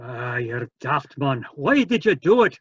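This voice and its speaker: An actor voicing an excitable, cornered old Scottish man with a raspy voice in a 1940s radio drama. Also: mid-range voice, measured pace, dry recording. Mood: indignant. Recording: dull, dry radio recording.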